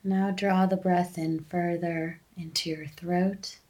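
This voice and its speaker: Female meditation guide, gentle and very slow, with flat, steady intonation and long pauses. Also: fairly low pitch.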